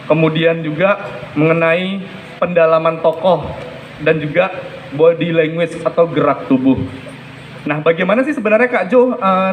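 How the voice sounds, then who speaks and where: High-pitched man coaching with emphasis. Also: slight background noise, poor audio. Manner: explanatory, emphatic, repeats key points. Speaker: storytelling coach and judge giving feedback. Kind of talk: spoken feedback with demonstrations.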